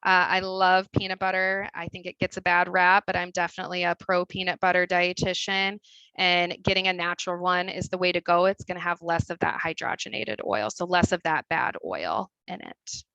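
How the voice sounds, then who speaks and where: A woman with a Midwestern accent walks through her slides as a dietitian, chatty and full of fillers. Her voice is bright and crisp, sitting a little low, and the sound is dry and close. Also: normal speed, no room sound, muffled recording. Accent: Midwestern American. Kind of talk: webinar presentation. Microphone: video call.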